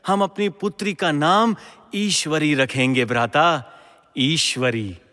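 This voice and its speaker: A man's voice: on the high side, slow, a bit bright. A man playing a devout, principled ancient king in a mythological audio drama, speaking in a formal, declamatory way. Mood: joyful, grateful. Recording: long echoing hall reverb.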